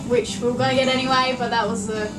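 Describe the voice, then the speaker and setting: A woman's voice: on the high side, flat delivery, fast, over loud music. A young woman, chatting casually as she hosts a sailing travel vlog. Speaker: vlog host. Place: on a sailboat and beach outdoors. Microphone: action camera.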